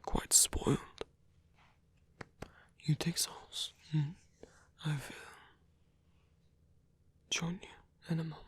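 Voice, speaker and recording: A man playing a lonely, courteous old vampire in an intimate ASMR roleplay, murmuring softly and unhurriedly in a breathy whisper. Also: on the high side, little pitch movement, slow, pauses often. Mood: gentle, hospitable.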